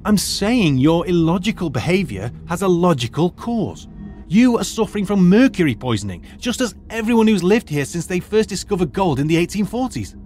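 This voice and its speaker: A man's voice: high-pitched, sing-song, normal speed, darkish tone, faint music behind. A man playing a middle-aged retired archaeologist turned teacher who lays things out in a precise, lecturing way. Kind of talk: audio drama acting.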